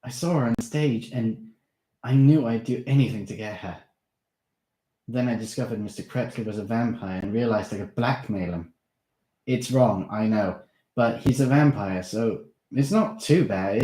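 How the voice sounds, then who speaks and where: A man's voice: mid-range voice, normal speed, mellow, pitched above the performer's own voice, lightly acted. A man voicing a bold, defiant young schoolboy who talks in an earnest, self-assured way. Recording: roomy echo.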